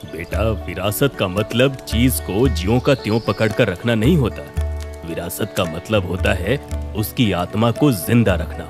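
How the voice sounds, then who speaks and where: A man voicing a wise, calm old village gentleman in a cartoon story, giving measured, gently reproving advice. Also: on the low side, natural voice. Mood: calm reproof. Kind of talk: animated cartoon story dialogue. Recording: music under the voice.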